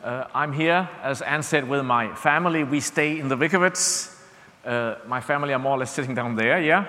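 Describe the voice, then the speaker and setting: A man's voice: mid-range voice, moderate pace, bright, forceful tone, in a large hall. A man from Denmark with a Danish accent, preaching as a visiting speaker in a conversational way, with lots of fillers and restarts and a frequent "yeah?" to the congregation. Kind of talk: sermon. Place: church.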